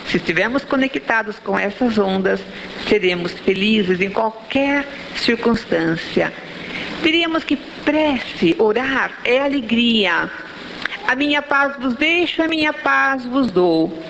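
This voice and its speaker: A woman's voice: medium pitch, vocal fry, fairly bright tone. A Brazilian woman giving a religious talk in Brazilian Portuguese, explaining in a didactic way and checking in with rhetorical questions. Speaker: spiritist lecturer. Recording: dull lo-fi audio, faint background noise.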